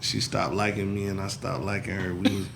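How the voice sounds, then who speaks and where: A man's voice: deep voice, little pitch movement, a little fast, gravelly, noisy background. A man in his forties hosting a casual talk show, rambling and laid-back, with "you know what I'm saying" as a frequent filler. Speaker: podcast host. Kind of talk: casual chat.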